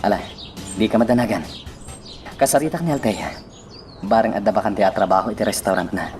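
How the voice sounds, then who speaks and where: A man's voice: on the low side, fairly quick, darkish tone, slight background noise, natural voice. A man playing a helpful, reassuring young man in a romantic radio drama, with an Ilocano accent.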